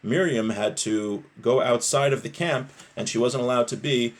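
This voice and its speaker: A man's voice: medium pitch, moderate pace. A man with a mid-range voice teaches religious law. He reads passages aloud and then explains them in plain terms, rephrasing points and putting short questions to his listeners. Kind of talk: religious lesson, reading a text aloud and explaining it.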